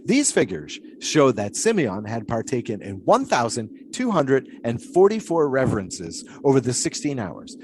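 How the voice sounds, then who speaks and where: Brief: man as 1920s pole sitter, lofty and musing, lively swooping delivery, faint ambience. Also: medium pitch, noisy background, natural voice. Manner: grandiose, musing, theatrical. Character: philosophical 1920s male flagpole sitter. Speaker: flagpole sitter being interviewed. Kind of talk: period audio drama acting.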